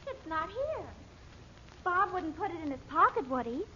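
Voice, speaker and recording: On a muffled old radio recording, an earnest girl. Her voice is very high, eager and questioning, in the manner of a 1940s serial. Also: sing-song, moderate pace, bright, forceful tone, slight background noise, full character voice. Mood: worried, curious. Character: earnest, inquisitive young daughter, a girl.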